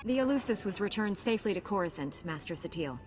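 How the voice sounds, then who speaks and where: An actress plays a capable female Jedi knight, the hero of a video game. She has a medium-pitched voice and speaks with direct assurance. Faint music plays behind her. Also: animated, fast, lightly acted. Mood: confident. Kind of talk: scripted game dialogue.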